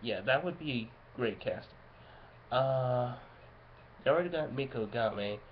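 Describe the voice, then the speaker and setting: Halting man on muffled, noisy mic. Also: medium pitch, animated. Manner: halting, searching for words. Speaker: fan video creator. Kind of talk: casual unscripted commentary. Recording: muffled lo-fi home mic, background noise.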